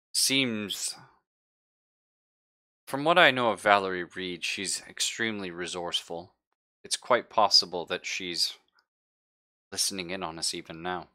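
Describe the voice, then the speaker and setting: A man running a tabletop roleplaying game, speaking in character with a measured, halting delivery. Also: low-pitched, pushed and bright. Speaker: game master.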